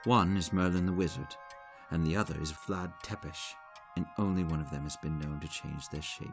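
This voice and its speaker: Man playing a very deep, courtly ancient director. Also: fairly even intonation, soft background music. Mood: stern, sceptical. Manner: formal, measured, old-fashioned. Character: centuries-old male director, courtly, stern, sceptical. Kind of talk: audio drama acting.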